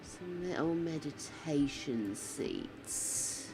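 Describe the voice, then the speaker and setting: An older woman streaming a building game, chatting casually, swearing freely and muttering to herself, her voice croaky from a sore throat. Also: sits low, lively intonation, very slow, music in the background, poor audio.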